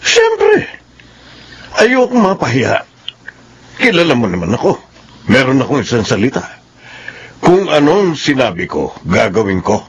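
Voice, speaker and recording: A man's voice: medium pitch, wildly swooping pitch, unhurried, long pauses, a little hiss or hum. A man playing a stubborn, overbearing middle-aged father in a Filipino radio drama. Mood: indignant, proud. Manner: emphatic, overbearing. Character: stubborn domineering middle-aged father, proud of his word.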